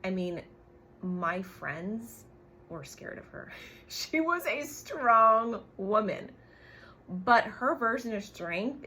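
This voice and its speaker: A woman's voice: medium pitch, a bit bright, a little hiss or hum. A woman in her forties talking candidly and emphatically in a personal storytelling vlog, like a coach speaking to her followers. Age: 40s. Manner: candid, emphatic, frequent tag questions and swearing. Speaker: business coach.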